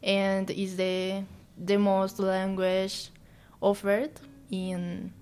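A young woman from Colombia with a Colombian Spanish accent, a university student answering interview questions in English, her second language, halting and searching for words with many restarts. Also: medium pitch, completely flat delivery, a little mellow, clear, resonant tone. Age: young adult.

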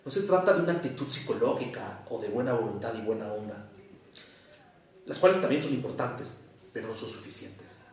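A psychoanalyst from Mexico with a Mexico City accent, giving an academic lecture in a measured, deliberate way with short pauses between phrases. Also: male voice, mid-range voice, animated. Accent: Mexican Spanish, Mexico City. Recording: echoey room, voice picked up from far off.

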